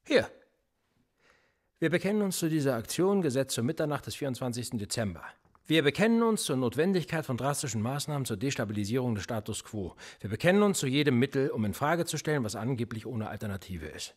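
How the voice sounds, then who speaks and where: An actor playing a cool, sardonic male police interrogator in a radio play, calmly probing and sarcastic. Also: medium pitch, quick pace, breathy edge.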